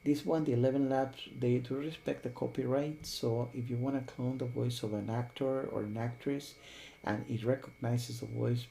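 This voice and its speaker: Gravelly-voiced man giving a casual review. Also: mid-range voice, dark tone, slight background noise. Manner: rambling, repeatedly checks with "okay?" and "you know". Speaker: software reviewer. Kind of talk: casual unscripted review talk.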